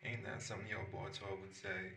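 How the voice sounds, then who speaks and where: A man voices a gruff demon, a loyal and protective best friend in an audio roleplay. The voice is gravelly and frank, with lively intonation. It sounds roomy and a little away from the mic. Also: fairly low pitch, brisk, heavily acted. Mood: matter-of-fact. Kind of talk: roleplay audio drama, one-sided dialogue. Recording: roomy, distant, noisy recording.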